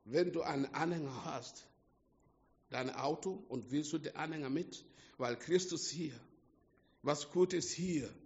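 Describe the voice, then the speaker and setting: Man, non-native German accent, emphatic sermon, unhurried delivery, lo-fi reverberant hall. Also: on the high side. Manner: slow, emphatic preaching with swings between hushed and loud. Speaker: preacher. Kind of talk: sermon to a small congregation. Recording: dull lo-fi sound with long hall reverb.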